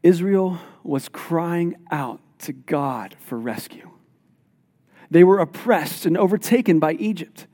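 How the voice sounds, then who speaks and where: A man preaching a sermon as pastor, reading scripture aloud and explaining it in an animated, emphatic way. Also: a little high, slow pace. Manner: animated, self-deprecating, emphatic. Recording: long hall reverb.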